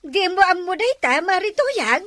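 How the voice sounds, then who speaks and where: A woman's voice: very high-pitched, sing-song, normal speed, fairly bright tone. A woman acting in a comic village drama, inquisitive and drawing out her words. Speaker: comedy drama actor.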